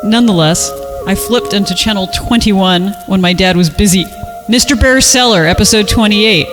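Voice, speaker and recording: A woman reads a story aloud for a podcast, with clearly audible music behind her. She slips between narration and joking casual banter. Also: medium pitch, normal speed.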